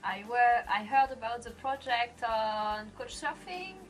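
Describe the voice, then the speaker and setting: A woman's voice: a little high, lively intonation, measured pace, faint music behind. A woman, answering interview questions earnestly in slightly broken English. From France. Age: 30s.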